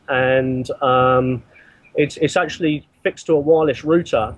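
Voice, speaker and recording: A man being interviewed as a guest, speaking in a halting way and often repeating his words. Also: on the high side, darkish tone, breathy edge, no room sound. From not from the country he works in. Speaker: interview guest, mission builder. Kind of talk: remote interview answers.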